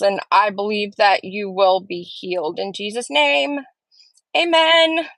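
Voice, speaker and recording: A woman's voice: medium pitch, a bit bright, dry recording. A woman hosting a casual live stream, chatting and earnestly answering viewers' comments as she reads them, recorded at home. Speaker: livestream host.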